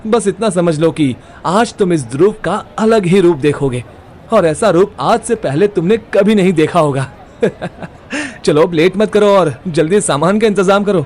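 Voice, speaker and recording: A man's voice: sits high, lively intonation, measured pace, a little mellow, a little hiss or hum. An actor playing a cocky, bossy young male schemer in an audio drama, confident and mocking. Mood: confident, reassuring. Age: young adult. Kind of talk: Hindi audio comedy-horror drama acting.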